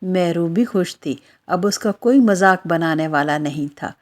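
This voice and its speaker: A woman tells a scripted children's story as its narrator. Her voice sits a little low and she reads at a slow, measured pace. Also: animated, darkish tone.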